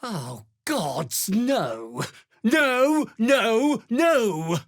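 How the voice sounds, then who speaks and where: An actor playing a pompous, jittery, self-serving merchant in a video game, speaking with a British accent in a haughty, indignant manner that falters nervously. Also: male voice, very high voice, sing-song, very slow, dry recording, clean studio sound, full character voice. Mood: flustered, evasive. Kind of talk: video game dramatic acting.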